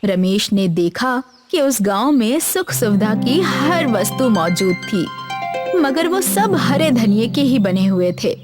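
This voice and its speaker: A woman narrating a cartoon story in an expressive storytelling manner. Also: fairly high pitch, takes it slowly, loud music behind the voice.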